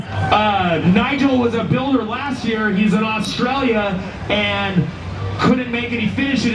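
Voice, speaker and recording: A man working as an awards announcer at an outdoor event, speaking informally and loudly to the public over a PA. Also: high-pitched. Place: outdoor motorcycle show stage. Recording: echoey outdoor PA from far off, music.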